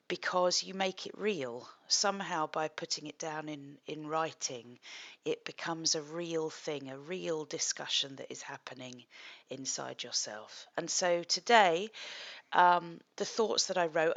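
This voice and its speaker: A middle-aged woman, a psychotherapist, talking calmly and thoughtfully in a slightly husky voice as she hosts a creative project. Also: sits low. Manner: gentle, thoughtful, with soft laughs and pauses. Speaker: psychotherapist and art project host. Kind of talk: calm reflective vlog talk.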